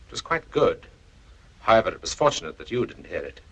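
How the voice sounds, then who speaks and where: A smug, menacing villain from a 1940s film. His voice is sharp and raspy, and he talks quickly with taunting self-satisfaction. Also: deep voice, very animated, lo-fi sound, heavily acted. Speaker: actor playing a film villain.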